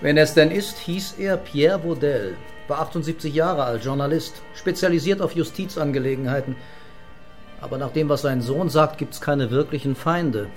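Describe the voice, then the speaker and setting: Actor as terse, sober police commissioner over music. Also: male voice, fairly high pitch, normal speed, close to an everyday voice. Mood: sober, matter-of-fact. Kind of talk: German radio crime drama acting.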